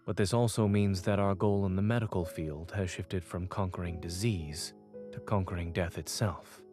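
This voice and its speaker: An actor playing a calm, authoritative doctor in a video game, speaking in a steady, measured way. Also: male voice, deep voice, soft background music, natural voice. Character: calm authoritative male doctor, brilliant surgeon and lecturer. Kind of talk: scripted game voice acting.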